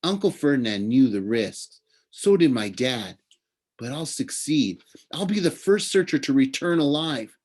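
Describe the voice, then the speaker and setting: A young male satyr from a storybook, as a man reads him aloud. He is earnest and nature-loving, quick to take offence. He lectures in a plaintive, heartfelt way. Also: medium pitch, big pitch swings, a little mellow, pitched above the performer's own voice. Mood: earnest, hopeful.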